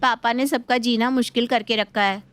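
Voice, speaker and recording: A playful young daughter from a cartoon family story, voiced by a woman. She is chatty and outspoken, teasing in a fairly high voice. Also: fairly even intonation, normal speed, a bit bright, clean tone, lightly acted. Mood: gleeful. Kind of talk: Hindi animated moral story acting.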